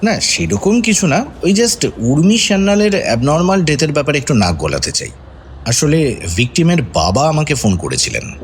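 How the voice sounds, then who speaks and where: Inquisitive detective, very varied intonation. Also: male voice, fairly high pitch, sing-song, moderate pace, slight background noise. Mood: casual, persuasive. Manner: probing, conversational questioning. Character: senior male detective, inquisitive, composed, probing. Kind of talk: Bengali radio detective drama acting.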